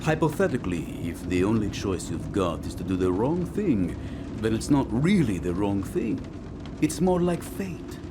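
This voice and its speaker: A man voicing a sly, smooth-talking gangster in a video game, falsely friendly, florid and teasing. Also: lowish voice, big pitch swings, normal speed. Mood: philosophical. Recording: loud vehicle noise behind the voice.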